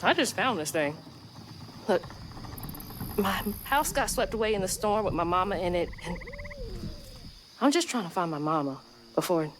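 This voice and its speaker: A woman's voice: fairly low pitch, animated, normal speed, long pauses, slight background noise, close to an everyday voice. A woman voicing a determined young heroine in a video game, plain-spoken and earnest, with a Southern American accent. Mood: worried, earnest. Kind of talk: video game character dialogue.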